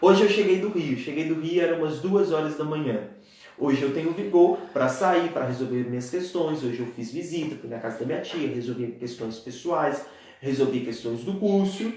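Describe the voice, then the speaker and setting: A man's voice: fairly high pitch, animated, moderate pace, darkish tone, heavy reverb. A Brazilian man in his twenties with a Brazilian Portuguese accent, preaching an earnest church teaching and often calling his listeners dear ones. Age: 20s. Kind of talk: church teaching talk on a live stream.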